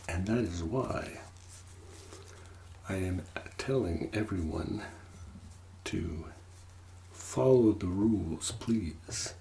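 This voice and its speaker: A man playing a mysterious, courteous manor master and game host in a soft, partly whispered, formal ASMR roleplay voice. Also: low-pitched, creaky, a little hiss or hum, heavily acted. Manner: slow, deliberate, formal, long pauses.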